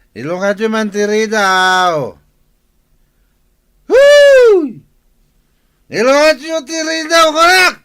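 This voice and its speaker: Man acting a slow, rambling drunken husband. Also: high voice, fairly bright tone, full character voice. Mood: shouting, irritated. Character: drunken husband and father, loud and grumbling. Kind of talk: Ilocano radio comedy-drama acting.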